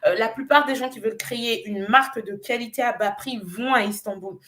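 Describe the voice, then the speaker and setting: A woman from Nigeria pitching her coaching business with earnest persuasion. Her voice sits a little high, and she pauses often with small hesitations as she lays out her offer. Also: a bit bright. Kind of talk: promotional pitch on a live show.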